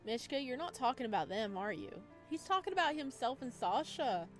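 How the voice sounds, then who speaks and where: A woman's voice: high-pitched, very animated, fairly quick, faint music behind, voice pushed up. A woman voicing a distraught, defiant imprisoned man in a visual novel, with emphatic, anguished delivery. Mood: furious, anguished. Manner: emphatic, anguished, loud outbursts.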